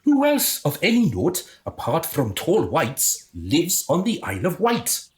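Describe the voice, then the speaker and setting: A haughty alien passing as human, played by an actor, in a comic radio drama. The delivery is pompous and condescending, swinging widely in pitch through a middling register, and quick to exasperation. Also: wildly swooping pitch, darkish tone. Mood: pompous, exasperated.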